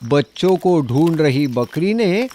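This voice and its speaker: A man narrating a scripted children's story in an expressive, dramatic storytelling manner. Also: on the high side, takes it slowly, slight background noise.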